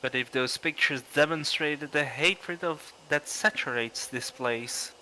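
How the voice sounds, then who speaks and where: A man's voice: fairly high pitch, a little slow, pushed and bright, a little hiss or hum, poor audio. A man reading a horror visual novel aloud on a livestream, with casual commentary in between. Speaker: livestreamer playing a horror game.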